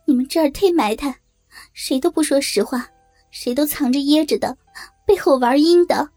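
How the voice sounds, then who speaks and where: A woman's voice: high-pitched, normal speed, mellow, faint music behind, natural voice. A woman playing a frightened, naive young rural wife with a Northeastern Chinese accent, hesitant and pleading, in an audio drama.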